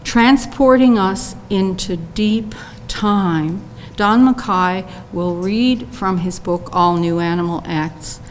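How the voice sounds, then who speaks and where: An older woman, giving a formal scripted introduction with careful, deliberate phrasing. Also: medium pitch. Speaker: poet laureate introducing a poet. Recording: long hall reverb, faint noise.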